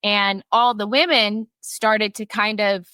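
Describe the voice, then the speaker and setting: A woman's voice: medium pitch, steady tone, slow, sharp tone, smooth, no echo. A woman guest on a podcast, telling personal stories in a frank, talkative way and swearing casually.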